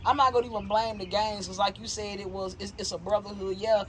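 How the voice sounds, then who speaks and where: A woman in her thirties with a Southern US accent, hosting a casual interview and talking in an animated, slangy, opinionated way. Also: mid-range voice, quick pace, a little hiss or hum, rough recording. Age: 30s. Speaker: interview host.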